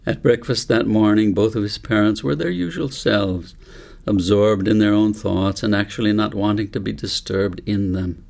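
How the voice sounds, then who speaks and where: A man narrating a children's audiobook in a measured, deliberate storytelling manner. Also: lowish voice, gravelly, a little mellow. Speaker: author reading own book aloud.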